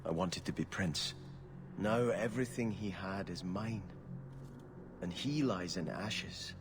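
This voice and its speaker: An actor playing a devout, conflicted young exiled prince in a video game, with a formal, confessional manner. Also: male voice, low voice, slow pace, background noise. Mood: troubled. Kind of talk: video game cutscene acting.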